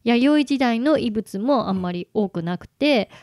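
A woman radio host with a fairly high voice talks in a colloquial, explanatory way. The sound is close and dry, and the style is casual chat. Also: expressive, a little slow, darkish tone, no echo. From Chiba area, Japan.

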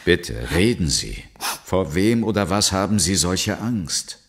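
Deep-voiced, insistent investigator. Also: male voice, big pitch swings, slow pace, heavily acted. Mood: urgent, pressing. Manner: polite, pressing questions. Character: insistent, courteous male investigator questioning people. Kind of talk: German audio drama acting.

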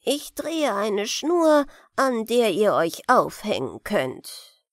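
A woman's voice: on the high side, very animated, takes it slowly, no echo, clean studio sound, exaggerated voice. A woman voicing a grumpy old woman in a fairy-tale audiobook, curt and grumbling. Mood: annoyed. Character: grumpy, unwilling old woman, secretive spinner.

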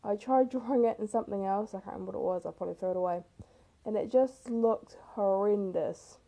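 A teenage girl with a rough, hoarse voice, casually narrating her own speed painting in a chatty, self-deprecating way. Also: mid-range voice, brisk, warm tone. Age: teens. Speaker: art vlogger. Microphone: camera mic.

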